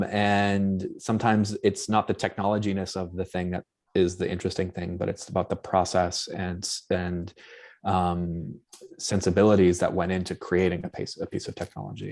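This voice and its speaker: Low-voiced man with a light Boston accent. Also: little pitch movement, moderate pace, vocal fry, darkish tone. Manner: thinks aloud, tentative and searching. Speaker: anthropologist panelist. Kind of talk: academic panel discussion.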